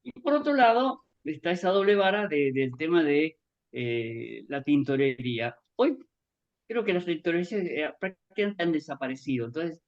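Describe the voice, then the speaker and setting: A man's voice: a little high, expressive, normal speed. Middle-aged Argentine male guest, conversational storyteller who meanders, dull lo-fi call audio. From born in Japan, grew up in Argentina. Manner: rambling, conversational, acts out remembered dialogue. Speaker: guest author giving a testimony. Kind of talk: personal reminiscence in an online talk.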